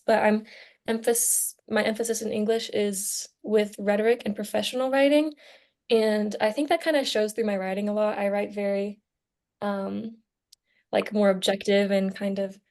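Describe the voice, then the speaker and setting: A woman's voice: medium pitch, darkish tone. Young American woman, student podcast co-host; mid-range voice, chatty, informal, thinks aloud. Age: young adult. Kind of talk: casual chat.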